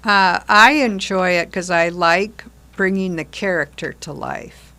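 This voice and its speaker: A woman's voice: fairly low pitch, steady tone, measured pace, bright, forceful tone. An older woman talking conversationally as a member of a community theater group, explaining things and posing questions. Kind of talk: conversational discussion.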